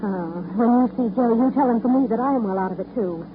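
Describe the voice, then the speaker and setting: A woman's voice: fairly high pitch, fast talker, background noise, natural voice. Tinny 1930s radio actress as spoiled socialite woman. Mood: bitter. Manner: theatrical, cajoling, snippy, peppered with endearments. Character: spoiled, restless, name-dropping divorced socialite woman. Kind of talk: 1930s radio drama acting.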